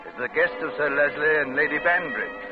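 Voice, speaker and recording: An actor playing a police investigator who recounts a case as narrator of an old-time radio crime drama. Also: male voice, on the high side, fairly quick, close to an everyday voice. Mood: measured, grave. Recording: tinny old radio recording with music.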